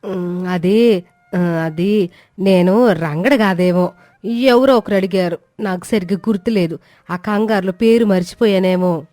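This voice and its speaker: A woman's voice: medium pitch, measured pace, long pauses, dark tone, no echo, lightly acted. Haughty scheming mother-in-law played by a woman. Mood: evasive. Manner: defiant, scornful, evasive.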